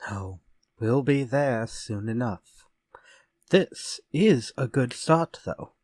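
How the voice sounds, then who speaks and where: An actor playing a sinister, vengeful ex-convict murderer in retro radio drama style, speaking in a slow, theatrical, menacing way. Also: male voice, mid-range voice, expressive, darkish tone, no room sound, clean studio sound. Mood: calm, sly.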